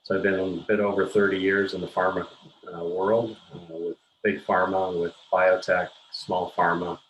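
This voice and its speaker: An older man with a Canadian accent speaks in a deep, very even voice. He explains things carefully and haltingly as an interview guest, with noticeable noise behind him. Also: highs cut off. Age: 50s or older. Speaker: interview guest, pharmaceutical scientist and executive. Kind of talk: measured explanatory interview talk.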